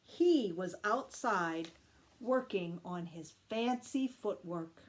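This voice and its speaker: A woman reading a children's story aloud with expressive, deliberate emphasis. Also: medium pitch. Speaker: storytime reader, teacher.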